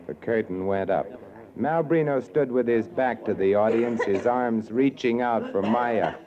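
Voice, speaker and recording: A man's voice: medium pitch, a little slow, a crowd in the background, lightly acted. A man playing a cynical, world-weary newspaper columnist, narrating with wry, brooding literary delivery in 1940s radio noir. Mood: brooding, sardonic. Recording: muffled 1940s radio broadcast, music underneath.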